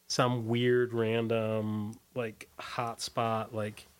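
Halting, rambling American man. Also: medium pitch, monotone, clean tone. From Washington, D.C., USA. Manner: halting, hesitant, rambling, frequent "like". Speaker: musician interview guest. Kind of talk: casual reminiscing interview.

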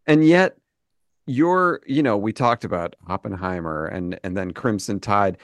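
A man's voice: on the low side, expressive, a little slow, no echo. Enthusiastic man hosting, rambling questions. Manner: enthusiastic, rambling questions full of fillers and restarts. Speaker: podcast host. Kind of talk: casual interview chat.

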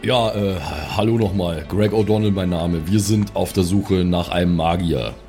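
Male tabletop player, deep register, informal and halting improvised chat over soft background music. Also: fairly even intonation. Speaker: tabletop role-playing player. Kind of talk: casual improvised in-character chat.

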